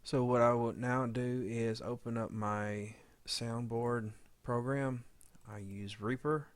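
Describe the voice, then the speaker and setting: A man giving an informal editing tutorial, talking haltingly as he thinks aloud while working. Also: mid-range voice, steady tone, no room sound. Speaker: audio drama editor giving a tutorial. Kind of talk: informal software walkthrough.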